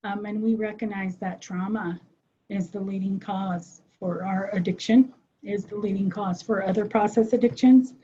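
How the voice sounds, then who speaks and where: A woman's voice: mid-range voice, fairly even intonation, normal speed, dark tone, a little hiss or hum. A woman presenting on a webinar in an earnest way, with frequent "um" fillers as she thinks aloud. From United States. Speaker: behavioral health clinician presenting. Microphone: video call. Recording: muffled webinar audio.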